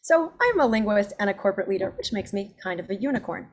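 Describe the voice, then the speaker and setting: A woman in her forties, an accent and presentation coach, giving an upbeat webinar pitch of her services. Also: animated, fast talker. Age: 40s. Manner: upbeat, self-promoting, listing services.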